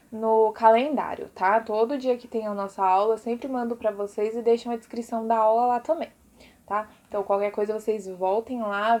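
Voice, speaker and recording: A woman's voice: on the high side, a little mellow. A Brazilian woman teacher giving an informal recorded lesson to pupils, chatty and explanatory, often adding little check-in tags.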